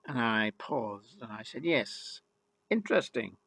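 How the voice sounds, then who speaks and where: A man's voice: mid-range voice, sing-song, unhurried, creaky, a bit bright, dry recording. An older man from Ireland telling his own life story in the first person, in a wry, rueful and emphatic way. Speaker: memoir narrator.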